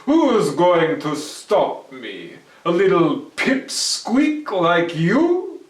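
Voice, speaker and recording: Someone voicing bold trickster guy in a kids story, slow n deliberate, echoey. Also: mid-range voice, huge pitch swings, voice pushed up, heavily acted. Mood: defiant, mocking. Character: Herschel, calm bold trickster man outwitting goblins. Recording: echoey room, distant mic.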